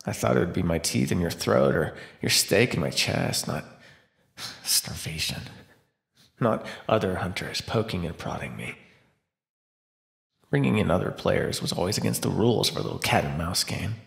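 A man's voice: low-pitched, big pitch swings, exaggerated voice. A man voicing a starving captive vampire in an immersive roleplay monologue, sardonic and flirtatious with a desperate edge. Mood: bleak, confessional. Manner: sardonic, teasing, breathy pauses and sighs. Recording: roomy, echoing sound.

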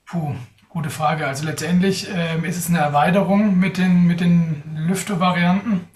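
A man's voice: high-pitched, fairly even intonation, normal speed, darkish tone, far from the mic. A young man answering audience questions on a live video call, thoughtful, with many hesitations. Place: indoors in front of a sponsor backdrop. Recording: roomy, off-mic sound.

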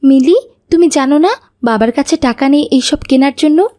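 A woman's voice: high-pitched, normal speed, mellow, natural voice. A woman voicing a dutiful, caring elder daughter in an Islamic cartoon, speaking in an earnest, instructing way. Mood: urging. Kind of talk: cartoon voice acting.

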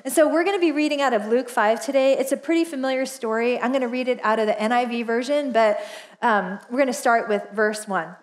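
A woman's voice: fairly high pitch, fairly quick. A woman in her forties, a pastor, giving a casual, chatty sermon from a church stage with lots of laughter and fillers. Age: 40s. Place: church stage, large indoor auditorium.

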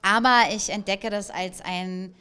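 A woman's voice: mid-range voice, fairly even intonation, unhurried, bright, forceful tone. A woman in her thirties, an actress raised bilingual in German and Italian, chatting in an interview at an event with fillers and restarts. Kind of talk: casual interview chat. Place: indoor event room, standing by a small table. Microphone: handheld mic held by interviewer.

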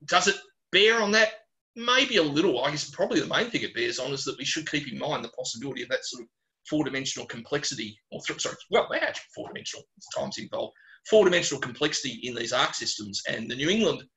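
An Australian man, a geologist answering questions informally on a webinar, speaking bluntly and colloquially with animated digressions. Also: medium pitch, low-quality recording. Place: small closed room at home or office, on a video call.

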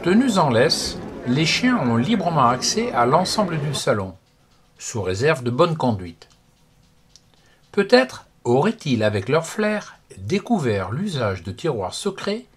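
Lively male news narrator. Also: medium pitch, a little slow, slight background noise. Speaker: reporter narrator. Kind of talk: scripted news voice-over. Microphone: studio voice-over. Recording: music bed under voice.

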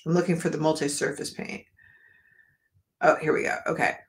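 A woman's voice: low voice, little pitch movement, normal speed. A middle-aged woman chatting casually with viewers on a live art stream, rambling and talking straight to them, losing her voice a little. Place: home art studio, indoors.

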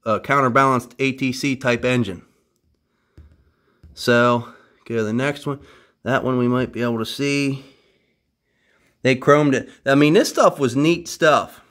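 A man's voice: medium pitch, a bit bright. A man chatting casually in his garage workshop as he shows off an old three-wheeler, joking at his own expense. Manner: chatty, wry self-deprecating jokes. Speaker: vintage three-wheeler restorer. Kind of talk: casual workshop show-and-tell.

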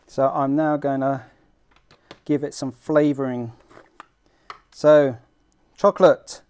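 A middle-aged man with a British accent hosting an informal homemade how-to vlog, talking in a halting, self-deprecating way as he works. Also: medium pitch, steady tone, a little mellow, smooth. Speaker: fishing vlog host. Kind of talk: casual how-to demonstration. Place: small room at home, indoors.

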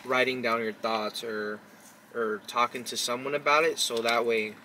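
A man chats casually as part of a group. He sounds slightly distant from the mic. Clear vehicle noise runs behind his voice inside the car. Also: medium pitch, steady tone, moderate pace, sharp tone. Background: vehicle and road noise.

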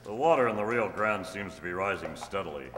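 A man's voice: low-pitched, expressive, fairly quick, fairly bright tone. An older man playing a resolute, earnest masked cowboy hero in a staged old-time radio drama. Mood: grave, thoughtful. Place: stage in a hall. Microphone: stand microphone. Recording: echoey hall, noisy room ambience.

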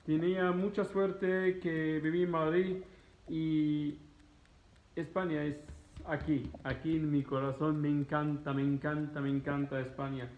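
A man's voice: on the high side, a little slow, a little hiss or hum. Egyptian man vlogging on a tinny phone. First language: Arabic. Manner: animated, gesturing, Spanish learned as a second language. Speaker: travel vlogger. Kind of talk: casual answers to viewer questions. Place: living room at home, indoors. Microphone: phone camera at arm's length. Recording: tinny phone-like sound in an echoey room.

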